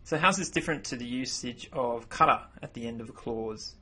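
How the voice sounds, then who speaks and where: A man whose first language is English, explaining grammar over slides in a relaxed voice-over, often repeating himself as he goes. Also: medium pitch, a little fast, breathy edge. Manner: relaxed, self-correcting explanations. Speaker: language teacher. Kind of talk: narrated slideshow lesson.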